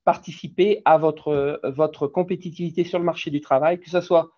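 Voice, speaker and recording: Middle-aged french guy on a business webinar, kinda high voice, lots of ums and restarts, dull lo-fi call sound. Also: steady tone, normal speed. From France. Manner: informal, frequent hesitations and restarts. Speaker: business executive, webinar panelist. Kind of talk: webinar panel remarks. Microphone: video call.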